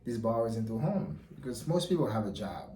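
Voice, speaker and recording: A man answering interview questions in a confident, relaxed way. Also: medium pitch, fast talker, a little hiss or hum. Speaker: guest, mortgage company founder. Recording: echoey room with faint ambience.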